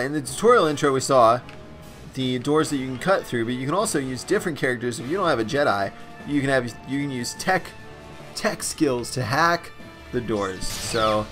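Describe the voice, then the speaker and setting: A man hosting a let's play, commenting on gameplay in an excitable, enthusiastic way, his voice raspy and a bit stuffed up. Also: medium pitch.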